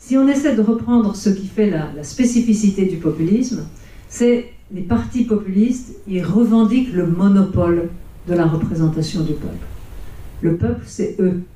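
An older woman explains her points as a lecturer on stage. Her tone is very soft-edged and dark. The sound is roomy and a little distant. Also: medium pitch, moderate pace. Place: conference hall, on stage. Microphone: handheld microphone.

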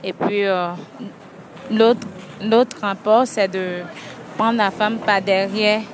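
A woman's voice: mid-range voice, steady tone, takes it slowly. A young woman interviewing passers-by on a market street with a handheld mic, asking blunt, teasing questions. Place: busy outdoor market street. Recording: outdoor crowd noise. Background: crowd.

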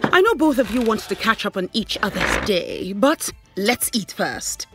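A middle-aged woman playing a bossy, brisk mother in an audio drama. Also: medium pitch, animated, music in the background, close to an everyday voice. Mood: brisk, cheerful. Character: bossy, brisk mother hosting a family dinner.

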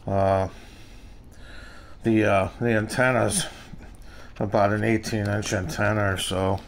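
An older man with an American accent gives a casual, unscripted product review in a halting, plain-spoken way. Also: on the low side. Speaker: gadget reviewer. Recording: noisy amateur home recording.

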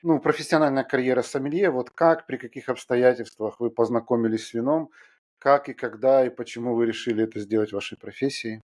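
A man's voice: medium pitch, a little fast, darkish tone. A man politely interviewing a guest. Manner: polite, encouraging questions. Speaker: host. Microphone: studio mic with pop filter.

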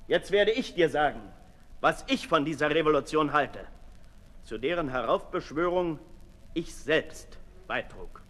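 An actor playing a brooding, disillusioned aristocrat in a 1960s German radio play, declaiming deliberately, from hushed to loud. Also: male voice, fairly high pitch, full character voice. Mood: bitter, confessional. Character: Marquis de Sade, brooding disillusioned aristocrat, intense and self-lacerating. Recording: 1960s radio recording in reverberant hall.

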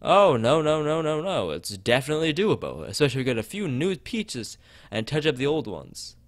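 A man voicing an opinionated, flattering gallery owner in a visual novel, gushing and full of rhetorical questions. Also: a little high, brisk, no echo, clean studio sound. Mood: insistent. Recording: music behind the voice.

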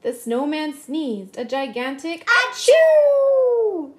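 A woman in her thirties giving an expressive children's picture-book read-aloud, drawing out words for emphasis. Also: sits high. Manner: expressive, slow, drawn-out emphasis. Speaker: children's storybook reader.

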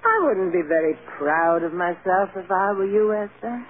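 A woman's voice: medium pitch, normal speed, close to an everyday voice. An actress playing a stern but loving old grandmother, firm and earnest, in 1940s radio drama style. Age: older. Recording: tinny, hissy old radio recording.

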